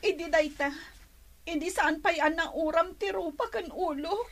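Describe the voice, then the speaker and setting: A woman's voice: very high-pitched, moderate pace. A Filipino woman playing a withdrawn, despairing young sweetheart in an Ilocano radio drama, speaking plaintively. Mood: tearful, doubting. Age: young adult.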